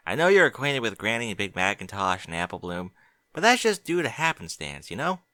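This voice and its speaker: A man's voice: fairly low pitch, sing-song, brisk, pushed and bright, no echo, clean studio sound. A man voicing an earnest young farm pony mare in a fan fiction audiobook, talking in a plain, folksy way. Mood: hesitant.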